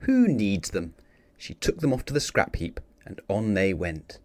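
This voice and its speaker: A man's voice: deep voice, animated, normal speed, no room sound. A man narrating a children's picture book expressively, theatrical on the sound-effect words. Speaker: storybook reader.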